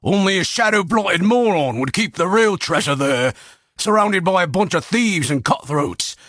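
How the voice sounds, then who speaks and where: A man's voice: fairly high pitch, sing-song, slow, pushed and bright, no echo, clean studio sound, exaggerated voice. A man voicing a wounded, hateful male bandit chieftain who growls threats and begs in panic. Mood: panicked pleading. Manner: growling, then pleading in panic.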